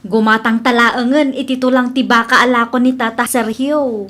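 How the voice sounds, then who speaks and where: Woman acting high-voiced kindly Ilocano village wife. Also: steady tone, normal speed, a bit bright, lightly acted. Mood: homely, coaxing. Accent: Ilocano Filipino. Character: kindly, thrifty poor village wife, Erika. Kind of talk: amateur comedy radio drama.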